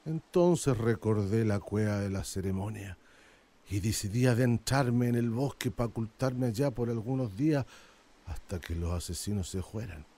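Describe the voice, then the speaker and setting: Mellow, grieving old man. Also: lowish voice, moderate pace. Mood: sorrowful, haunted. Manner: laconic, almost absent telling. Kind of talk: radio drama acting.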